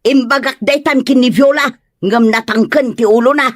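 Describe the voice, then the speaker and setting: A woman's voice: high voice, moderate pace, no echo, clean studio sound, lightly acted. A woman playing a furious, domineering mother who barks threats in an Ilocano comedy drama. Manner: threatening, shouting commands. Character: furious domineering mother opposing her child's wedding.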